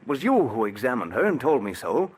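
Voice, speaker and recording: A man's voice: mid-range voice, big pitch swings, fairly quick, husky. An actor playing a haunted, guilt-ridden man in a 1940s radio drama who grows coldly insistent. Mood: accusing. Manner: brooding, then coldly insistent. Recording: old radio broadcast recording.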